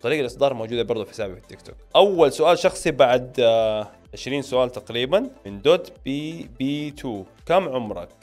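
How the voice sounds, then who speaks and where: A young Saudi man with a Hejazi accent talks very quickly in a mid-range voice. He addresses his audience directly and informally, reading questions and answering them on a close microphone, with music playing underneath. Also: medium pitch, expressive, darkish tone. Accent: Saudi Hejazi Arabic. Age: late 20s. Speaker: film and series YouTuber. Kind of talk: casual Q&A to camera, reading viewer questions aloud and answering. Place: small indoor home studio. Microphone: microphone on a stand close in front.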